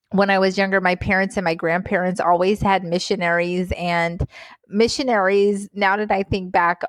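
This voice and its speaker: A woman from Guyana, a physician guest, telling her story in a casual interview, animated and laughing often. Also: lowish voice, dry recording. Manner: animated, laughs often, repeats words for emphasis.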